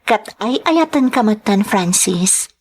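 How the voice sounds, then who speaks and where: A woman acts out a young Ilocano-accented sweetheart in a radio drama, coy and doubting yet tender toward her suitor. Her intonation is highly animated, with wide rises and falls. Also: slow. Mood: tender, worried.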